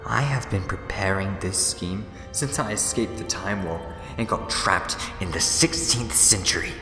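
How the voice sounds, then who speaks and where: An actor playing a gleefully smug, scheming time-travelling villain in a fan audio drama, theatrical, wheedling and gloating. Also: male voice, sits low, a little slow. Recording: echoey long reverb, music behind.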